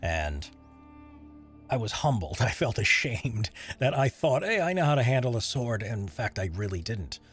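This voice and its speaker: A man's voice: medium pitch, sing-song, normal speed, fairly bright tone, faint music behind. A man streaming while he edits voiceover audio, chatting loosely with his viewers and rambling between tasks. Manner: rambling, chatty, answers chat while working. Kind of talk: casual live-stream chat.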